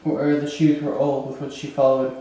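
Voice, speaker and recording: A man acting a grieving, bitter young prince in a theatrical Shakespearean monologue, with halting, emphatic delivery and dramatic pauses. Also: medium pitch, completely flat delivery, mellow, natural voice. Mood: anguished. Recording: echoey room, distant microphone.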